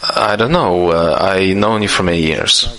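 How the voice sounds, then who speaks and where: Man, live interpreter, question-and-answer session, halting, restarts, lowish pitch, bright tone. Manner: halting, with frequent restarts and fillers.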